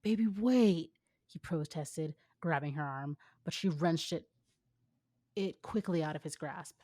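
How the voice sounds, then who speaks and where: A woman with a low voice narrates a story expressively. She slips into casual asides, and the sound is dry with little room. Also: a little slow, a little mellow, no echo. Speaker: fan fiction reader.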